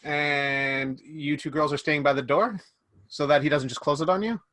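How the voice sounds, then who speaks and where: A man's voice: fairly high pitch, pushed and bright, no room sound. Man narrating as game master, dry sound. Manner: slow, drawn-out descriptive narration. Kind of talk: narrating a tabletop game on a video call. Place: home room indoors. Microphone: video call webcam mic.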